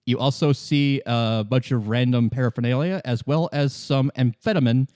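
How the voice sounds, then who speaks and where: A man running a tabletop game as game master, improvising his narration in a casual, halting, good-humored way. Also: medium pitch, a little mellow, no room sound, clean studio sound. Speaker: game master, podcast host.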